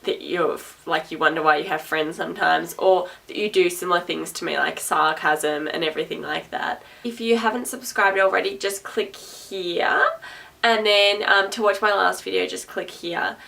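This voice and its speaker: A young adult woman talks casually to camera in a loud, projecting voice. She is sarcastic and chatty, repeats herself and laughs often. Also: lowish voice, fairly even intonation, moderate pace, creaky. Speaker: vlogger. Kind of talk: rambling casual talk to camera. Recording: voice a little distant from the mic.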